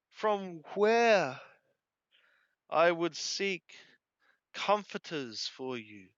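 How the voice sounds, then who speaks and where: A man reading scripture aloud and translating it phrase by phrase, halting and deliberate, correcting his wording as he goes. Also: a little high, fairly bright tone, smooth, no room sound. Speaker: amateur Bible reader and translator. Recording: dull lo-fi close recording.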